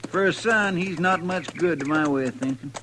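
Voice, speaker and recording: An actor playing a plain-spoken, respectful frontier deputy with rustic American speech in an old radio western. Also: male voice, fairly high pitch, normal speed, close to an everyday voice. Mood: folksy, concerned. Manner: rustic drawling idiom, deferential. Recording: 1950s radio recording, faint noise.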